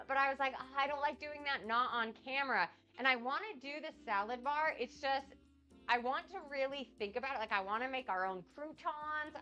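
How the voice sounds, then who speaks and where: A woman's voice: high voice, bright, forceful tone. A woman hosting a casual cooking livestream, chatting with viewers and answering chat while she cooks, a bit sniffly and nasal from a cold. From United States. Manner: chatty, rambling, reads out and answers chat comments.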